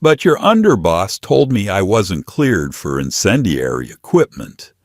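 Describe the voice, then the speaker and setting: Man playing blunt, defiant young mechanic, pitched lively. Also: unhurried, dry recording, studio quality, full character voice. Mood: matter-of-fact. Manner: muttering, blunt, irritated. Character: blunt, stubborn young male former maid turned mechanic, defiant.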